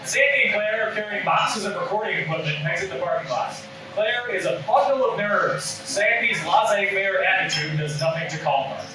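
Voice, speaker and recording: A man's voice: sits high, normal speed. A man reading scripted stage directions as narrator at a table read. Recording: echoey room, distant mic, noisy.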